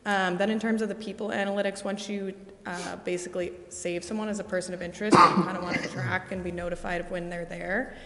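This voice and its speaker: A woman presenting at a city council meeting, brisk and explanatory about technical details. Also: lowish voice, little pitch movement, a crowd in the background. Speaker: presenter for a camera system. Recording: echoey hall, faint noise.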